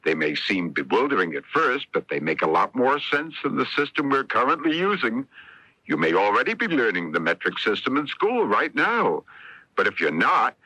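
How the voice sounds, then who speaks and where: A man's voice: medium pitch, very animated, moderate pace, pushed and bright, husky, no room sound, rough recording. A man delivering a scripted, explanatory public service announcement on 1970s radio. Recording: dry 1970s radio broadcast.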